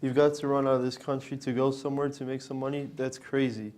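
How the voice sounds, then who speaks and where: A man's voice: mid-range voice, little pitch movement, fast talker, darkish tone, natural voice. A young man performing a scripted readers theater monologue as a student reader. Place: college library event. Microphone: worn microphone.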